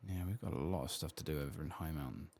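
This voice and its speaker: Very deep, soft-spoken gravelly man. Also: quick pace. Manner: casual, rambling, muttering to himself. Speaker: streamer playing a video game. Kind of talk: soft-spoken and whispered ASMR gaming commentary.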